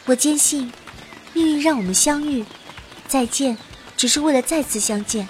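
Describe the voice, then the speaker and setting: A woman as a high-voiced, earnest young heroine. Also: moderate pace, a little mellow, a little hiss or hum, natural voice. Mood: hopeful, resolute. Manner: earnest, heartfelt. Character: young heroine adventurer, sincere, hopeful, determined. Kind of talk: audio drama acting with narrated monologue.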